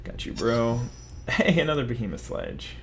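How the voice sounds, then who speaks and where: A man's voice: medium pitch, huge pitch swings, moderate pace, creaky, slight background noise. A young man giving rambling, self-mocking commentary over his own card game, talking casually to viewers. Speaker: gaming video host.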